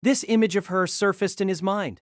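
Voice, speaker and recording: A woman's voice: mid-range voice, brisk, no echo, clean studio sound. A woman narrating a character's inner thoughts in an audio drama, thoughtful and wondering.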